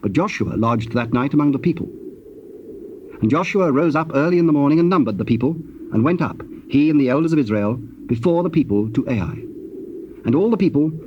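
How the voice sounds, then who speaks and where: A man narrating scripture in a solemn, measured and formal manner. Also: mid-range voice, darkish tone, lightly acted. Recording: dull, noisy old cassette tape.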